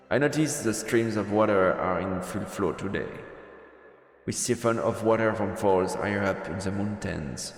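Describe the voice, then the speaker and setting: Male streamer, lively intonation, playful, reading game text aloud, roomy reverberant sound, music behind. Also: lowish voice. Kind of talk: live let's play commentary, reading game text aloud.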